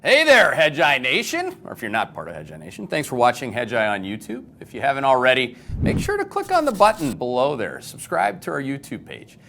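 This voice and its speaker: A man's voice: medium pitch, huge pitch swings, brisk, gravelly, few pauses, sharp tone, slight background noise. A man presenting a scripted promotional outro for a video channel.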